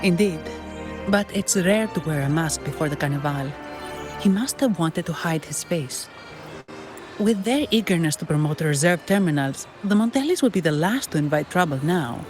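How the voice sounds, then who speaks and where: An actress playing a composed, practical female investigator in a video game, explaining things in a measured, courteous way. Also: fairly low pitch, animated, a little mellow, soft background music, lightly acted. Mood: concerned, thoughtful. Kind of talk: story video game voice acting.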